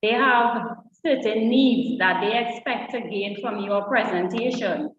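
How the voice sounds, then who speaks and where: A woman's voice: on the high side, measured pace, slight background noise. Woman speaking from far off in an echoey hall. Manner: engages the audience with direct questions. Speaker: workshop co-presenter. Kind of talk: interactive public speaking workshop. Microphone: online session audio. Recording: dull distant echoey hall sound.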